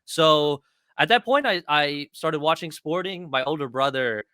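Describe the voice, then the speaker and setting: A man from Argentina chatting casually as a guest soccer creator, animated, slangy and self-deprecating. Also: a little high, unhurried, clear, resonant tone, dry recording. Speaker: guest, soccer content creator and fan streamer. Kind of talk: casual chat.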